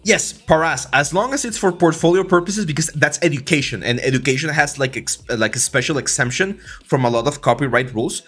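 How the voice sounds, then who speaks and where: Mexican-accented man hosting a relaxed 3D art stream. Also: a little high, fairly quick, few pauses, slightly rough, soft background music. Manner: relaxed, filler-heavy, many restarts. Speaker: 3D art instructor and livestream host. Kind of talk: casual livestream tutorial, reading chat.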